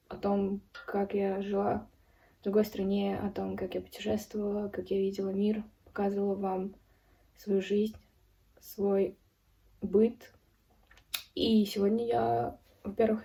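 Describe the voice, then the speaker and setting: Young woman, early twenties, from Ukraine, very dark soft-edged tone, solemn, halting delivery, personal vlog, recorded away from the mic. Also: medium pitch, gravelly, far from the mic. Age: early 20s. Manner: serious, solemn. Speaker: video blogger. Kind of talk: personal account spoken to camera.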